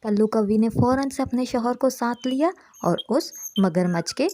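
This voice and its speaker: Mellow woman narrating a moral story. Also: a little high, normal speed, slight background noise.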